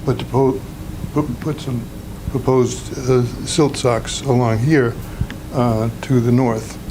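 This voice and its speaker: A man with a loud voice presenting his home project to a town commission, plainspoken and halting. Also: medium pitch, steady tone. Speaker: homeowner applicant. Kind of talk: presenting a project at a public meeting. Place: town meeting room. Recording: noisy meeting room audio.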